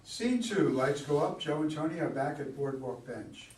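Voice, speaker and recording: A man's voice: fairly high pitch, expressive, moderate pace, a little mellow. A man reading stage directions as narrator at a staged play reading. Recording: echoey room, far from mic, background noise.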